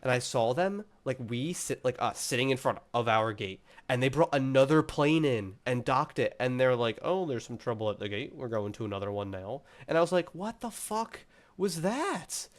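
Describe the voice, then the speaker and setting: A man's voice: medium pitch, expressive, moderate pace. Congested young man rambling casually. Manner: rambling, heavy use of filler "like". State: congested from a cold. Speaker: podcast co-host. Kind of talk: casual chat.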